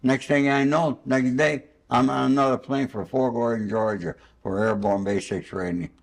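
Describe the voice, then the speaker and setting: A man's voice: medium pitch, steady tone, moderate pace, creaky. An older man hosting a spontaneous, casual interview in a rambling, anecdotal and somewhat boastful way. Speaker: interviewer and host.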